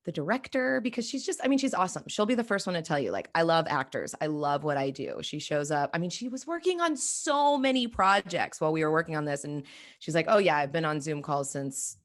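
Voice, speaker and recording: Woman, low-pitched voice, enthusiastic, conversational, actor interview. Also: sing-song, fairly quick. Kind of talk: casual interview testimonial.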